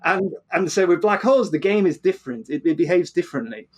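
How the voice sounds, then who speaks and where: A man's voice: sits high, lively intonation, fast talker. A man explaining physics conversationally as an interview guest, often repeating words and restarting mid-phrase.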